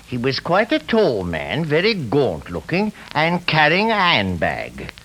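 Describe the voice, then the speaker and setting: An actor playing an earnest, eccentric elderly gentleman, fussy and deliberate, in old radio drama style. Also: a little high, wildly swooping pitch, heavily acted. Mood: earnest, confiding. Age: older. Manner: fussy, insistent, theatrically deliberate. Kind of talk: 1940s radio acting. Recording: hissy old radio recording.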